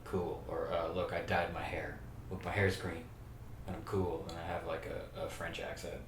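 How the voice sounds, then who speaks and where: A middle-aged man hosting a show and talking casually to camera about skateboard graphics, relaxed and rambling, with many "like" fillers. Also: sits low, moderate pace, recorded from a distance. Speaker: host breaking down skateboard graphics. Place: small room indoors. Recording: roomy sound, faint room hum.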